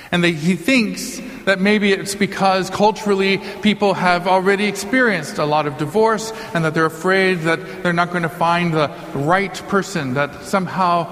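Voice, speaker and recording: A man's voice: high-pitched, fairly bright tone. Man preaching informally in a reverberant hall. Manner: conversational, joking asides. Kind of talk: informal sermon. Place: large church or hall, live before a congregation. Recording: reverberant hall, long echo.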